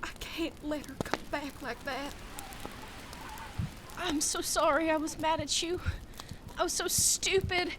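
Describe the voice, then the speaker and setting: A woman's voice: high voice, steady tone, a little slow, pauses often, very noisy. A woman playing an emotional, raw young survivor in a horror comic dub. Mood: remorseful. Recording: loud music over the voice.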